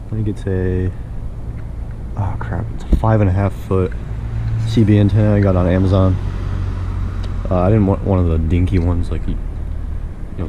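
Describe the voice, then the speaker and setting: A man casually walking through a do-it-yourself wiring job, relaxed and rambling with plenty of fillers. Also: deep voice, noisy background. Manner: laid-back, rambling, filler-heavy explaining. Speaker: DIY video host. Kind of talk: casual how-to walkthrough. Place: inside a pickup truck and around it, at night.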